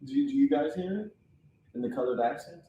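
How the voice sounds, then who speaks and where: A man's voice: fairly high pitch, quick pace, dark tone, echoey room, slight background noise, low-quality recording. A man hosting a casual reaction chat, talking bluntly and teasing his co-host. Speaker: podcast host.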